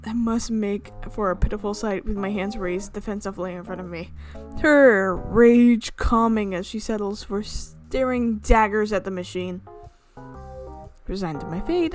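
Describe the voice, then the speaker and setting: A woman's voice: medium pitch, moderate pace. Woman reading a story game over music. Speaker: let's player reading a visual novel aloud. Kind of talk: dramatic read-aloud narration with casual asides. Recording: music playing behind the voice.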